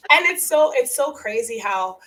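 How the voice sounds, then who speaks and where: A young adult woman with a somewhat high voice tells stories as a podcast guest in a casual, animated way. She laughs often and briefly acts out other people's lines, and her family is from Guatemala. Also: moderate pace, rough recording. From United States, family from Guatemala. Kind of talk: casual conversational interview.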